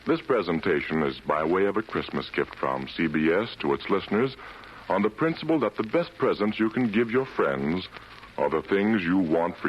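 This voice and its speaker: Deep, animated 1940s radio narrator, male. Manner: theatrical, measured, formal. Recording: muffled, noisy 1940s radio broadcast.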